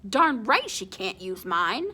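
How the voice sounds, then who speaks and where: A woman's voice: mid-range voice, big pitch swings, slow pace, pushed and bright, breathy edge, exaggerated voice. A woman voicing a baseball-mad schoolboy in a storybook, defensive and wry, with a curt, tough-acting manner. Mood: sarcastic, grudging.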